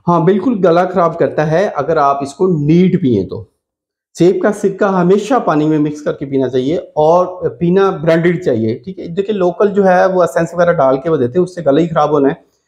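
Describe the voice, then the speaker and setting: Higher-voiced Pakistani man giving health advice. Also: normal speed, a little mellow. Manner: instructive, repeats key points. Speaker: doctor giving diet advice. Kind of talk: informal instructional health talk to camera.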